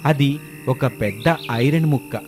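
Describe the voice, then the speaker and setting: A man's voice: mid-range voice, a little slow, darkish tone. A man reading a scripted story as its narrator. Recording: music bed behind the voice.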